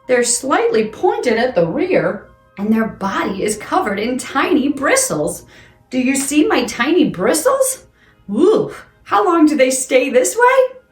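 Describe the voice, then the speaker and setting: A woman presenting playful science lessons and read-alouds for children in an exaggerated, questioning, exclamatory way. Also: medium pitch. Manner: exaggerated, exclamatory, asks questions of young listeners. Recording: roomy echo with music underneath. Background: music.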